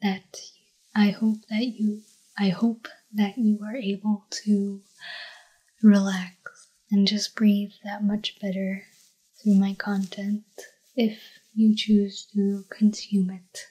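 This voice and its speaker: A woman speaks in a relaxed, mellow tone, slipping in and out of a whisper as she rambles through a late-night ASMR talk in her apartment. Her delivery is slow and even, with little rise and fall. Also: medium pitch, breathy edge, low-quality recording. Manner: rambling, drifts between soft voice and whisper. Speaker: ASMR creator. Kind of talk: soft-spoken ASMR chat.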